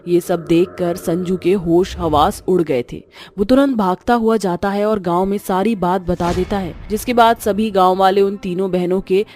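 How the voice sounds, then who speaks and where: A woman's voice: medium pitch, fairly even intonation, normal speed, hardly any pauses, darkish tone. A woman storyteller reading scripted horror story narration.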